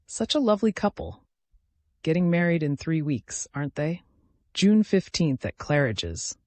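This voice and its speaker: A menacing woman schemer, voiced by a male narrator in an audiobook thriller. The delivery is cold and measured, with taunting swings of pitch and a dry, close sound. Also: very animated, no echo. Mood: mock-sweet menace. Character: menacing female villain, cold taunting strategist.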